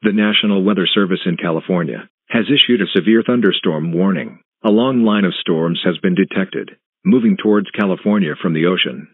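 A man reading a scripted emergency alert as an announcer, in a flat, measured, synthetic-sounding delivery with clipped phrases. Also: low-pitched, husky, dry recording. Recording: muffled, narrow phone-like broadcast sound.

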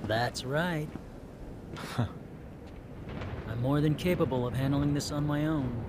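A man's voice: medium pitch, slow, noisy background, natural voice. An actor playing a cold, haughty, disdainful middle-aged swordsman in a video game cutscene. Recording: dramatic music behind the voice.

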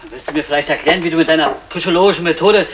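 Actor as a quick, nervous burglar sidekick. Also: male voice, a little high, a little hiss or hum, natural voice. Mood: skeptical. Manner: skeptical, grumbling. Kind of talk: 1960 German radio comedy crime play.

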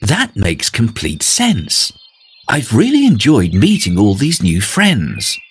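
A man's voice: lowish voice, wildly swooping pitch, slow, breathy edge, full character voice. A man voicing a timid, sad young male tortoise in a storybook, trembling and earnest. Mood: grateful.

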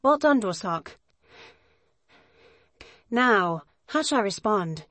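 A woman voicing a sweet, friendly schoolgirl chess partner in an anime visual novel, gentle and encouraging. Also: mid-range voice, expressive, takes it slowly, no room sound. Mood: playful.

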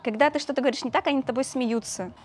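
A young woman from Russia, a doctor appearing as a guest, telling stories in a relaxed, chatty way and laughing often while recorded on a lapel mic in a small studio. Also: high voice, racing, a crowd in the background. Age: young adult. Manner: chatty storytelling, frequent laughter. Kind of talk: casual interview chat. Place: small studio with neon lights.

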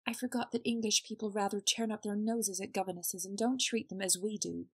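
An actress playing a gentle, modest young governess in an audio drama, earnest and soft. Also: female voice, medium pitch, steady tone, fairly quick, husky, no echo, clean studio sound.

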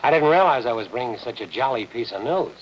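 Man acting tough reporter, American, medium pitch, terse, sardonic, 1950s TV drama, light background noise. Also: expressive, fast talker, muffled recording, lightly acted. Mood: needling. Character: hard-boiled, sardonic male newspaper reporter. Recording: noisy old 1950s television soundtrack.

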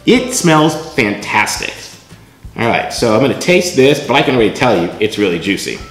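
A man's voice: medium pitch, big pitch swings, normal speed, echoey room, faint music behind. A middle-aged man hosting a casual kitchen vlog, chatty and often tagging his lines with "right?" while he shows food to the camera. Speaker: vlog host. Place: home kitchen, indoors.

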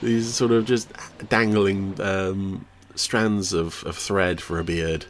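A man's voice: low-pitched, steady tone, slow pace, slight background noise. A man giving casual, wry, jokey commentary on a TV episode as a podcast host.

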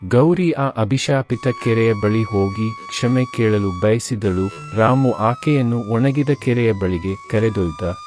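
A man narrating a cartoon moral story in an even, matter-of-fact way. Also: lowish voice.

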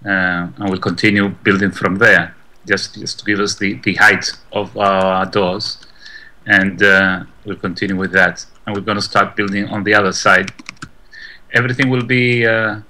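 Man, Gibraltarian accent, lowish bright voice, halting with fillers, faint hiss. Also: little pitch movement. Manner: halting, many fillers while explaining. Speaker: gaming video co-host. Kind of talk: casual tutorial chat.

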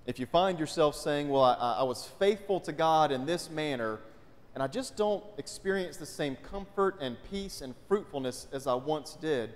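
A man, a pastor preaching a sermon in a conversational way and often asking the congregation questions. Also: on the high side. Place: large church sanctuary, indoors. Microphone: pulpit mic. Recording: long church hall reverb.

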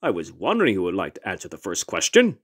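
A man's voice: sits low, huge pitch swings, fast talker, breathy edge, exaggerated voice. A man voicing a wry, slightly wicked history teacher in an amateur comic fandub. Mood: sly amusement.

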